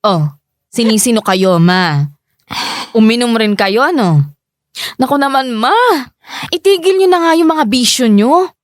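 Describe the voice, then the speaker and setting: Casting: young Filipino breadwinner daughter, weary, dutiful, pleading, higher-pitched voice, radio drama. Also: female voice, animated, measured pace, pauses often, no room sound, studio quality. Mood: scolding, exasperated. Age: young adult. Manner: respectful, exasperated, pleading.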